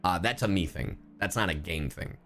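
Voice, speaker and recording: A man livestreaming a game with casual commentary, rambling in an animated way and swearing freely. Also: deep voice, moderate pace, a little hiss or hum.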